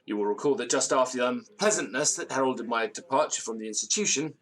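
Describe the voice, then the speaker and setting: An actor playing a nervous, theatrical, disgraced gentleman in an audio drama, with florid old-fashioned diction and a tendency to stammer. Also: mid-range voice, fast, lightly acted. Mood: grave, storytelling. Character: nervous, theatrical, hard-drinking disgraced university gentleman storyteller.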